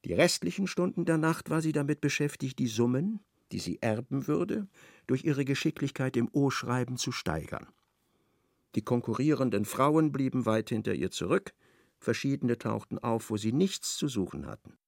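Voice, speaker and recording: Gravelly male narrator, dry close sound. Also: mid-range voice, lively intonation, moderate pace, a little mellow, no echo. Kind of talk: literary radio play narration.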